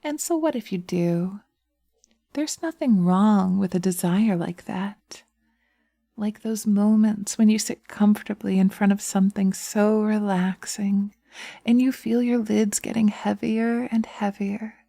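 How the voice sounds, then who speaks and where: Lady doing a soft coaxing witch, sweet n teasing, creaky and super dry close sound, hypnosis audio. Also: medium pitch, a little slow, dry recording. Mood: dreamy, lulling. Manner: soothing, coaxing, partly whispered. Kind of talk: binaural hypnosis roleplay audio.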